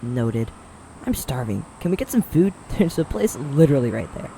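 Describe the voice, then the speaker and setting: Man acting a mellow, joking college guy. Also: mid-range voice, sing-song, moderate pace, a little hiss or hum, rough recording. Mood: casual, upbeat. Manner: laid-back, joking. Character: easygoing, joking, hungry young male college student. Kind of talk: audio roleplay acting.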